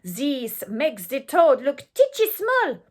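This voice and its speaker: A gleeful, ravenous French crowd from a children's storybook, put on by a woman reading aloud. The voice is pitched high, comes across with a mock French accent, and shouts each word out slowly. It swings widely up and down in pitch. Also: big pitch swings, heavily acted. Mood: gleeful hunger. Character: mob of greedy, excitable French townsfolk.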